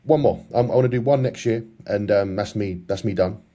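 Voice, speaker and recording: Male podcast co-host, British. Low, very quick delivery, casual and encouraging. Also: mellow.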